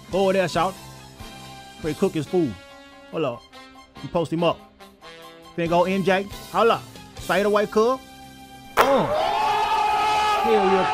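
A man with a high, swooping voice performs a comedy dub of basketball action. He exclaims in slangy, profane trash talk over music. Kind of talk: comedic dubbing of basketball players and referees.

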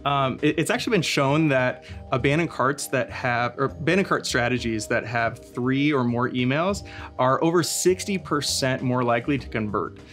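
A man in his thirties explaining a marketing topic in a bright studio, hesitant with frequent fillers and restarts, often asking his co-host leading questions. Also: medium pitch, normal speed, faint music behind. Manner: asks the listener leading questions. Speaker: marketing expert. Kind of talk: casual scripted company explainer chat. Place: bright studio with brick wall, standing.

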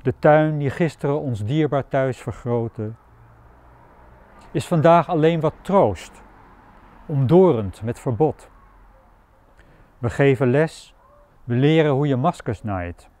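Slow, mellow man reciting poetry. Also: mid-range voice. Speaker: poet.

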